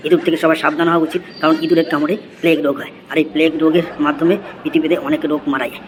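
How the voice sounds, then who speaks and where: Man playing a rattling, rapid-fire cartoon schoolboy. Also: on the high side, little pitch movement, noisy background, close to an everyday voice. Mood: rote, earnest. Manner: rattling, rote essay recital. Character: eager schoolboy obsessed with rats, reciting essays. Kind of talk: comedy cartoon recitation.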